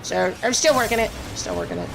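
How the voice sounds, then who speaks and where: A woman's voice: mid-range voice, huge pitch swings, quick pace, vocal fry. A woman live-streaming a game and chatting casually with viewers, her voice strained and scratchy, apologetic and full of fillers.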